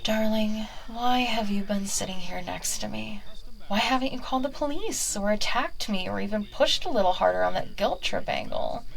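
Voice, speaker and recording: A possessive witch, played by a woman, croons to her new familiar in a soft, sweetly condescending whisper. Her voice sits at a middling pitch with a gravelly, fry-laden edge. The audio roleplay is quiet and close. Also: normal speed, lightly acted. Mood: sweetly menacing. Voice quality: frequent whispers. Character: doting, manipulative witch taming a new familiar.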